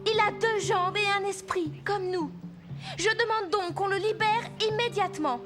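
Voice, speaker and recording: A woman playing a gentle but determined young princess in a French cartoon dub, pleading and resolute. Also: extremely high pitch, moderate pace. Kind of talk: French animated film dubbing. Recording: music playing behind the dialogue.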